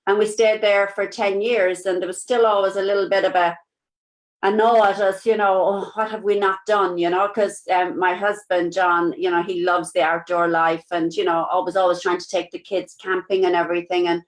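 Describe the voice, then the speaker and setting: A woman's voice: fairly low pitch, little pitch movement, normal speed, low-quality recording. A woman in her sixties with an Irish accent, telling her life story as a guest on a live video call, chatty and rambling with lots of "you know"s. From Ireland. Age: 60s. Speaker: interview guest, nurse. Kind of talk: casual life-story chat. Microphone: live-streamed video call.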